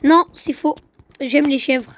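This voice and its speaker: A woman giving casual, excitable gaming commentary, full of slang and repeated phrases. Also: sits high, slow pace. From Quebec, Canada. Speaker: gaming video host.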